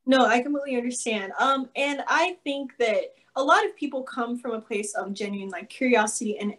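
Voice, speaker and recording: A woman's voice: a little high, a little fast, fairly bright tone. Young woman from Nigeria, student on a video call, earnest and chatty. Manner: earnest, chatty, filler-heavy. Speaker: student participant. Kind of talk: candid personal account.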